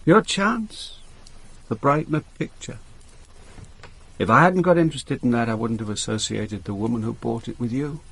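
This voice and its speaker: A police inspector in a radio drama, a man who is reserved but understanding. His mid-pitched voice has a husky grain, and he speaks in a considered, quiet manner. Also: moderate pace. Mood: measured, guarded. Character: thoughtful, guarded Dutch police inspector, quietly sympathetic.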